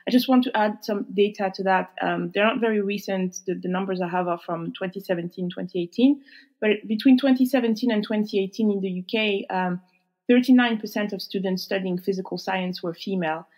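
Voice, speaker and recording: A woman hosting an interview podcast, presenting in a measured, clear way. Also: mid-range voice, a little mellow, poor audio. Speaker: podcast host.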